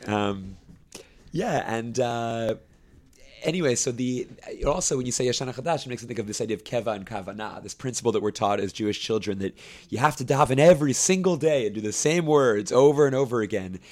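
A young man who directs a camp choir, explaining his work at length in a relaxed interview, with fillers and restarts. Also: medium pitch, brisk. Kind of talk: conversational interview.

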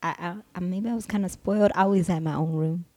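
A woman from Puerto Rico, raised in the US, co-hosting a casual podcast chat in a lively, slangy way. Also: lowish voice. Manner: lively, slangy, quick asides. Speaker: podcast co-host.

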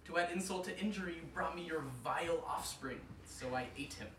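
A slow, deep, rasping old spirit of death, menacing and unhurried, as a storyteller puts him on. Every word is drawn out and chewed. The voice sits a little back from the mic in a roomy space. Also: male voice, far from the mic, noisy background, natural voice.

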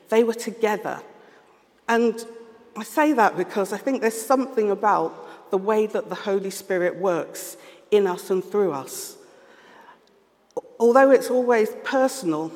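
A man with a London accent preaching a sermon, earnest and measured, pausing often as he reflects. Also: high-pitched. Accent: London English. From London, England. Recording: long reverb of a large hall.

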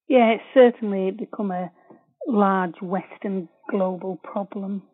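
Husky woman interviewer on a phone line. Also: mid-range voice, fairly even intonation. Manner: polite, measured. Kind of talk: interview questions.

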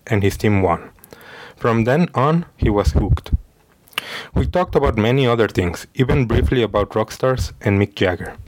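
This is a man who hosts his own interview podcast. He reads the introduction from a script, tripping over a word here and there and starting it again. His voice sits on the low side. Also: darkish tone. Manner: reads with small stumbles and restarts. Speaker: podcast host.

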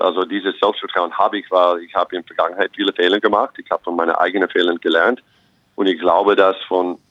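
Young American coach, very low voice, positive and earnest, dry dull recording. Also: male voice, no echo. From United States. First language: English. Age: young adult. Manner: optimistic, earnest, restarts phrases often. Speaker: basketball head coach. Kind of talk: interview guest.

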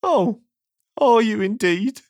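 Storybook character: sad, sniffy little man played by a woman, squeaky and high, dry recording. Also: expressive, slow pace, darkish tone, no echo. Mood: glum, sniffy. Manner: sniffing, glum.

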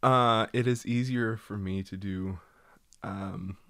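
A man's voice: fairly low pitch, steady tone, slow, a bit bright, no echo, clean studio sound. A young man co-hosting a podcast, chatty and laughing often as he explains things. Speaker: podcast co-host. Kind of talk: casual chat.

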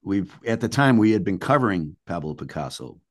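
Male interview guest, low-pitched voice, casual and rambling storyteller recalling his music days. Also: big pitch swings, a little fast.

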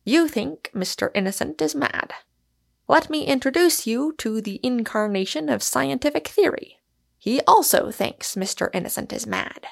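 A witty, grandiloquent gentleman, voiced by a woman, declaiming with playful solemnity. The voice is cheerful and a little creaky, in storybook style. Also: fairly high pitch, animated, measured pace, voice pushed up. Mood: mock-solemn.

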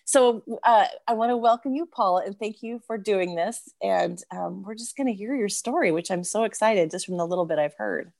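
A woman's voice: medium pitch, normal speed, creaky, hardly any pauses, darkish tone. Woman host, enthusiastic, chatty, rambling questions, apologetic. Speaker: podcast host, former dancer. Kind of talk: casual remote interview.

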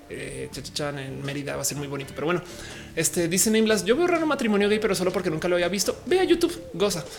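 A woman with a Mexican accent, chatting casually on a live stream and reading viewers' comments, upbeat and slangy, laughing often. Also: sits low.

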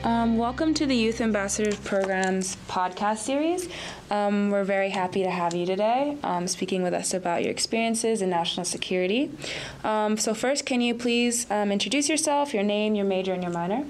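A woman's voice: medium pitch, moderate pace, faint music behind. A woman interviewing a guest for a podcast, often starting her questions with hesitant fillers.

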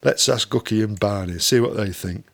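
Man acting male band manager, Northern English accent, creaky voice, blunt, offhand, matter-of-fact, audiobook dialogue. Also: on the low side, moderate pace, pitched below the performer's own voice. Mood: breezy.

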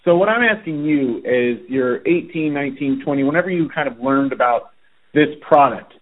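A man's voice: mid-range voice, expressive, normal speed. A man hosting a podcast, interviewing his guest in a friendly, chatty way. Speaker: podcast host. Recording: tinny phone-like line.

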